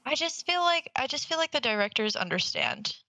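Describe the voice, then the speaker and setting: A woman's voice: high-pitched, big pitch swings, a little fast, bright, forceful tone, no room sound. A woman co-hosting a podcast in casual conversation, emphatic, repeating words for stress and swearing casually. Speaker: podcast co-host.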